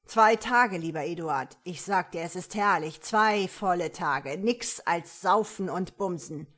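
A woman's voice: on the low side, measured pace, fairly bright tone. A woman voicing a barking male police detective in an audiobook, taunting with crude, jovial humour.

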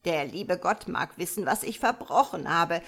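Woman voicing an indignant old mother frog, very animated. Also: on the low side, moderate pace, exaggerated voice. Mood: disgusted lament. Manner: scolding, lamenting, punctuated with croaky quacks.

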